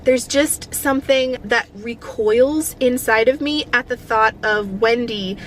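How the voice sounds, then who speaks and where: A woman giving a casual, chatty book review in an expressive, opinionated way, recorded inside a car. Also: fairly high pitch, measured pace, a little hiss or hum.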